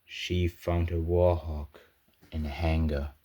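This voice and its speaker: A grim, battle-shaken soldier played by a man records a sci-fi audio log in an extremely deep, husky voice. He speaks very slowly and haltingly, in short broken phrases with heavy pauses between them. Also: flat delivery, mellow, full character voice. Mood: somber, weary. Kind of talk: scripted first-person audio log narration, amateur sci-fi roleplay.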